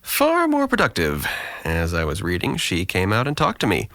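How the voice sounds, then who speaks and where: A man's voice: deep voice, wildly swooping pitch, normal speed, a bit bright, dry recording, heavily acted. An actor playing a guarded, depressed young man who deflects with dry sarcasm in an audio drama. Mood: wry, evasive. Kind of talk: naturalistic audio drama dialogue.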